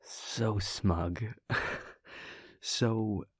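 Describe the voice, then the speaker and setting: A young man playing a laid-back, wry vampire prince boyfriend in an intimate audio roleplay, telling a story in a hushed, halting, amused way. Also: fairly low pitch, fairly even intonation, no echo, studio quality. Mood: smug. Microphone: close, dry studio microphone.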